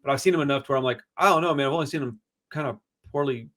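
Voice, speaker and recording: A man with a big, booming voice that he projects without shouting. He talks in a loose, slangy, rambling way, piling opinion on opinion as he co-hosts a record review show. His pitch sits in an everyday middle range. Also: racing. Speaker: podcast co-host. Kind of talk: casual music review chat.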